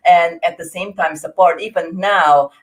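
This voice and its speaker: A woman in her forties with an Indonesian accent, a guest in an interview, speaking earnestly with frequent laughs and halting restarts. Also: on the low side, fairly even intonation, low-quality recording. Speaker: interview guest, grief coach. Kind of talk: conversational interview.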